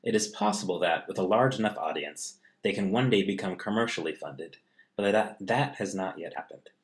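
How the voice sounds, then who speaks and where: A man with a fairly low voice reading scripted narration. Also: moderate pace.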